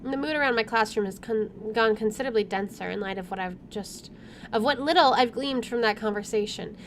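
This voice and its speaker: High-voiced woman reading a story aloud. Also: fairly quick, soft background music. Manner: stumbles over words and rereads them. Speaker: let's play host. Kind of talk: reading visual novel narration aloud with side comments.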